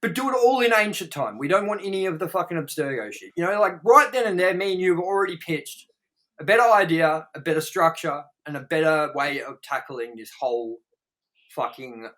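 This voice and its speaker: Higher-voiced man, rambling and profane. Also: animated, a little fast, fairly bright tone. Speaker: review show co-host. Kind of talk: casual chat, pitching ideas.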